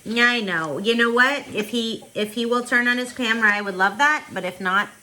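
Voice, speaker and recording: Bright-voiced woman reading aloud to children. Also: fairly high pitch, a little fast, a little hiss or hum, poor audio. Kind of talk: online storybook read-aloud with casual chat. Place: indoors, on a video call.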